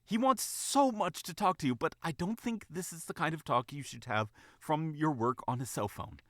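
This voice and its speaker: A man voicing a small, earnest female bunny in a storybook, soft and politely pleading. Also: very high-pitched, lively intonation, fairly quick, voice pushed up, heavily acted. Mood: gentle, firm.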